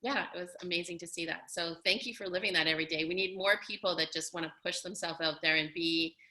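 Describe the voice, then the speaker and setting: A woman's voice: lowish voice, steady tone, fast talker, pushed and bright. A woman in her thirties hosting an interview, talking in an effusive, conversational way full of "you know" and "like". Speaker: host and coach.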